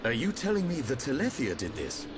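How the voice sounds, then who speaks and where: Expressive, seasoned warrior leader. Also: male voice, medium pitch, brisk, background noise. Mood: surprised. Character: seasoned, steady male warrior leader, wry, protective. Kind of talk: video game cutscene acting.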